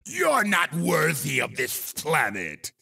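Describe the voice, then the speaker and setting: A man voicing an evil, boastful komodo dragon racer in a cartoon video game, with a gravelly, raspy voice and exaggerated, gloating exclamations. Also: on the high side, huge pitch swings, takes it slowly, sharp tone, exaggerated voice. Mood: gloating, menacing. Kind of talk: video game character voice clips.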